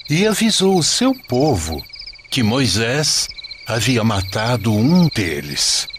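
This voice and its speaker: A man narrating an animated religious story as a storyteller, solemn and deliberate, in a dramatic scripted style. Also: fairly low pitch, background noise.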